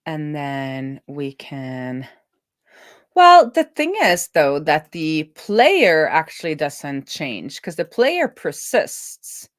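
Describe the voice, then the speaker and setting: A woman with a low voice talks through her code as she works, recorded dry with very little room sound. Her delivery is casual and halting, with sentences that trail off and get restarted as she reasons things out. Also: big pitch swings, no room sound. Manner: halting, trailing off mid-thought and self-correcting. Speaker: developer hosting a live coding stream. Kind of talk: thinking aloud while coding, casual.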